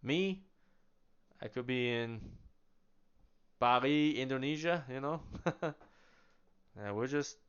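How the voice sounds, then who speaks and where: A man's voice: medium pitch, lively intonation, takes it slowly, pauses often, clear, resonant tone. A man casually demonstrating walkie-talkies, a chatty talker who asks rhetorical questions and answers them himself. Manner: chatty, with small laughs and restarts. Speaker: product demonstrator. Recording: slightly dull lo-fi sound.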